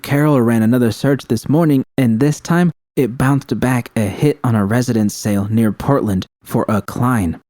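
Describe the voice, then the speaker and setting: A man's voice: mid-range voice, moderate pace, few pauses, a little mellow, dry recording, clean studio sound, close to an everyday voice. A man voicing a brash, impatient, loyal and foul-mouthed nomad woman in a story, blunt and urgent. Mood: insistent.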